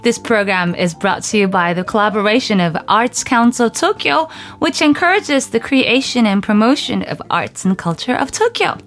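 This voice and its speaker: A young woman hosting a radio interview show, chatting in a playful, animated way and laughing often. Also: medium pitch. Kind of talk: bubbly radio interview chat. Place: radio studio.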